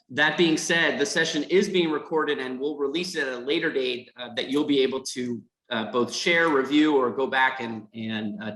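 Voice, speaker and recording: Bright-voiced male host, echoey room. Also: mid-range voice. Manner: reads prepared remarks, with fillers and repeats. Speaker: foundation host and interviewer. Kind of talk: scripted introduction.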